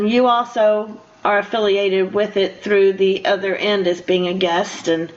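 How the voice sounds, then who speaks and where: An older woman interviewing a guest casually as a radio host, in a halting, rambling, encouraging way. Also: mid-range voice, steady tone. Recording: dull lo-fi radio interview audio.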